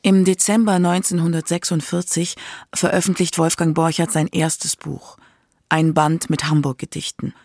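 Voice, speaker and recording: A woman's voice: low voice, level tone, normal speed, darkish tone, dry recording, studio quality. A woman narrating a scripted radio documentary in a factual narrator's style.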